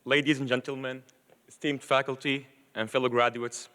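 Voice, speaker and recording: Loud, bright-voiced young man. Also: mid-range voice, level tone, normal speed. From Libya. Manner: loud, earnest delivery. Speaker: graduate speaker. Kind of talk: formal commencement speech. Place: large auditorium. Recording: long reverb of a large auditorium.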